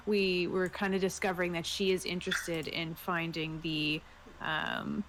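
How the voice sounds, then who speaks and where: A woman with a slightly low voice talks casually as a player in a tabletop game. She explains things tentatively, with pauses, over a faintly noisy call microphone. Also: a bit bright. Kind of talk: casual chat.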